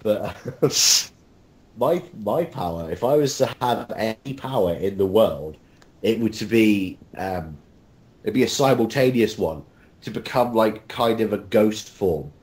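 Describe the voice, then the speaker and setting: A man's voice: lowish voice, a little mellow. A man chatting casually in an interview with a jokey, bantering manner. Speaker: voice actor, cast member. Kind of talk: casual chat.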